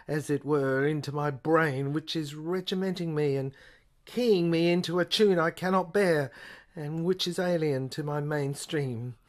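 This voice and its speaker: Woman voicing a troubled, defiant man. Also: sits low. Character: troubled, defiant man resisting mind control.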